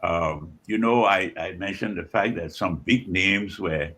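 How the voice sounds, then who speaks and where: An elderly man with a Liberian accent talks in a reflective interview, his voice bright and forceful but husky. He phrases his thoughts deliberately, pausing and repeating words as he goes, and sits fairly low in pitch. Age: 70s or older. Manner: measured, deliberate phrasing with frequent pauses and repetitions. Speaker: guest author and scholar.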